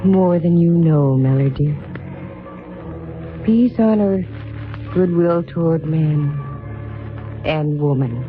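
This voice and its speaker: An actress playing a poised, warm-hearted female private investigator in a 1950s radio drama, with earnest, polished delivery. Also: low-pitched, slow pace, long pauses. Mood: earnest, hopeful. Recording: tinny vintage radio recording with music.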